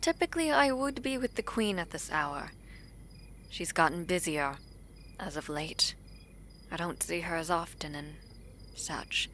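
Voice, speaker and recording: A woman playing a guarded, proud princess in a fantasy audio drama, speaking formally and deliberately with breathy phrase endings. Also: lowish voice, animated, a bit bright, a little hiss or hum. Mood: defensive, cool. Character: guarded, regal princess, a proud and protective sister.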